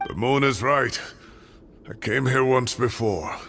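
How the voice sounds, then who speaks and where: An actor playing a weary, secretive old scholar in a video game, speaking in a grave, measured and dramatic way. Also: male voice, medium pitch, fairly bright tone, faint music behind. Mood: solemn, confessional. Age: older. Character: weary secretive old male scholar, guilt-laden mentor.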